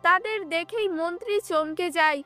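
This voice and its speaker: Very high, bright woman storyteller. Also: moderate pace. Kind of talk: scripted fairy-tale narration for a cartoon.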